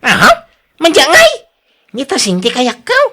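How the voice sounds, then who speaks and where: Performer as smitten younger suitor, lively swinging pitch, emphatic, pleading, Filipino accent, radio drama. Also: unhurried, full character voice. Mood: indignant. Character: smitten, insistent younger suitor of older woman. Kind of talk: Ilocano radio drama acting.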